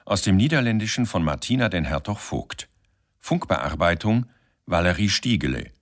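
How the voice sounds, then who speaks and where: Casting: male announcer, low voice, formal scripted credits for radio drama. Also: very animated, normal speed.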